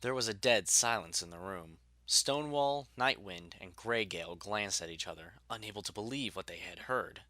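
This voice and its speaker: A male narrator reads scripted fiction. His voice is fairly low, yet bright and forceful, carrying the story in third person. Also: moderate pace, hardly any pauses, no room sound.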